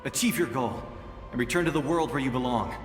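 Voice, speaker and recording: Echoing, gentle, selfless guardian voiced by a man. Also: medium pitch, a little fast, natural voice. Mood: calm farewell. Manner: calm, resigned, kindly. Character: gentle, selfless doomed male guardian spirit. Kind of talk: video game voice acting. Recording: long echoing reverb under music.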